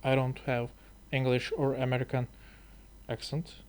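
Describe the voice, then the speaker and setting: A man from Turkey with a Turkish accent, a YouTuber learning English who talks and reads aloud hesitantly, with many pauses and fillers. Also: medium pitch, completely flat delivery, clear, resonant tone. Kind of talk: halting casual reading vlog.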